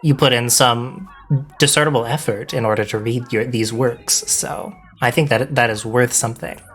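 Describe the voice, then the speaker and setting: A man's voice: medium pitch, steady tone, fairly bright tone, slight background noise. A man hosting a casual livestream interview, asking questions in an encouraging, good-humoured manner. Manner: encouraging, quick to laugh. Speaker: interviewer, stream host.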